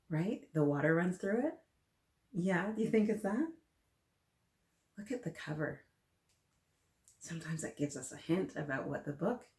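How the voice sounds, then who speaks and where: A woman's voice: low-pitched, darkish tone. A woman hosting a story time for young children, reading aloud and chatting to them with encouraging, simple questions. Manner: encouraging, addresses listeners directly with simple questions. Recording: roomy, echoing room sound.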